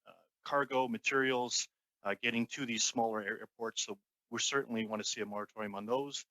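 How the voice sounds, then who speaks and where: A man's voice: lowish voice, pushed and bright, dry recording, rough recording. A man giving formal, somewhat hesitant testimony as a union representative.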